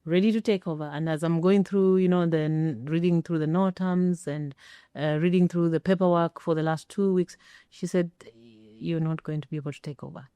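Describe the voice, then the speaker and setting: Woman, middle-aged, warm dark tone, emphatic storyteller, interview guest, dry sound. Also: lowish voice, steady tone, moderate pace, clean tone, dry recording. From Kenya. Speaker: interview guest, former air traffic controller. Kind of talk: personal storytelling in a sit-down interview.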